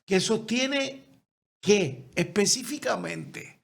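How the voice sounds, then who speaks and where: Male host, gravelly, high for a man, slow and probing, repeats the guest's key words. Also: animated, fairly bright tone. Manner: brief echoing replies, probing questions. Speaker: interview host. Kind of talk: interview questions and short affirmations.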